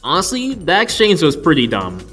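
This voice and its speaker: A man's voice: on the high side, wildly swooping pitch, normal speed, a bit bright, faint music behind. A man narrating a casual, joke-filled video review in a wry, profane, mock-exasperated way. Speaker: movie reviewer.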